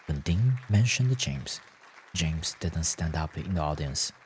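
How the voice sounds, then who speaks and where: A man's voice: a bass voice, lively intonation, slow pace, darkish tone, a little hiss or hum. A man reading scripted fiction as a story narrator.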